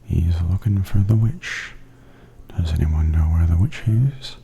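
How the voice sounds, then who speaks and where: A man giving softly spoken ASMR tour commentary in a breathy near-whisper, gentle, unhurried and soothing. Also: low-pitched. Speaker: host and tour guide.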